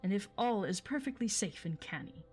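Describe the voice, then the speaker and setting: Thorin, a woman voicing a brisk dwarf leader. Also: medium pitch, animated, a little mellow, husky, faint music behind. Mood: commanding. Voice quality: brisk, ordering. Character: commanding male dwarf leader.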